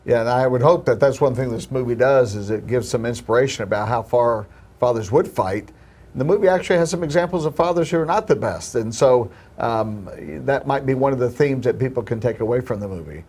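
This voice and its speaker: A film producer talks through his answers in an earnest, hopeful tone, sometimes restarting a phrase. He has a mid-pitched male voice. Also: lively intonation, fairly quick, darkish tone, husky. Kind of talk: interview answers.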